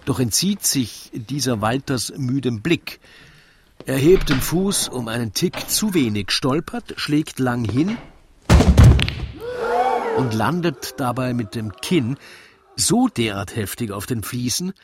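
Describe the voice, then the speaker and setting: A man narrating a radio play in rhymed verse, with measured, deliberate storytelling. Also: mid-range voice, very animated, noisy background. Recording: loud music under the voice. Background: loud music.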